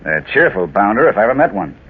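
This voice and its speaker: An actor playing a gruff, grumbling gentleman with dry, clipped delivery in a 1940s radio drama. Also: on the low side, very animated, fast. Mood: grumbling, wry. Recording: tinny old radio recording.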